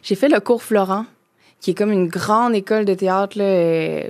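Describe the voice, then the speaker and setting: A woman's voice: medium pitch, moderate pace, no echo, clean studio sound. A woman in her thirties from Quebec with a Québécois French accent, chatting as a podcast guest and talking loosely with many fillers and restarts. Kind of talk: casual chat.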